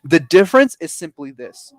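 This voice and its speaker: Lively, emphatic male speaking coach. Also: moderate pace, no room sound. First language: not English. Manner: energetic, emphatic, lively pitch swings. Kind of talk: webinar advice talk.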